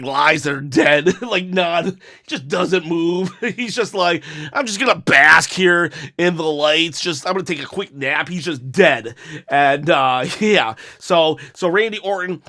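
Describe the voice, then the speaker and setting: A man hosting a one-take wrestling review, talking in a rambling, self-mocking, jokey way with a raspy, hoarse voice that breaks into coughs. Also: fairly high pitch, pushed and bright. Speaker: wrestling review channel host.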